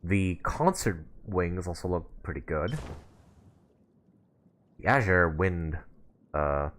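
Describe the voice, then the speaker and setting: Chatty young man streaming, lively low-ish voice. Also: takes it slowly, slight background noise. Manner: informal, chatty, thinking aloud. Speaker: game streamer. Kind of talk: casual live stream commentary.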